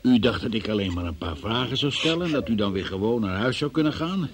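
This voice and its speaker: An actor playing a stern, formal examining magistrate who questions in measured officialese, in a Dutch radio drama. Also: male voice, lowish voice, animated, crowd noise, close to an everyday voice. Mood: firm, official.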